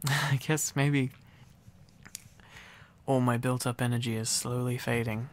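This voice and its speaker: A man's voice: medium pitch, steady tone, slow pace, lightly acted. A man playing a needy, silly, affectionate boyfriend in an intimate ASMR roleplay, rambling and teasing playfully. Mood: relaxed, teasing.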